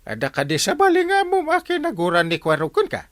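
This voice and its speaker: A man's voice: extremely high pitch, fairly quick, no echo, studio quality, heavily acted. An actor with an Ilocano accent playing an exasperated, sputtering male village captain in a comedy radio drama. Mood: exasperated scolding. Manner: animated, sputtering, repeats exclamations. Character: exasperated village captain scolding his wayward men.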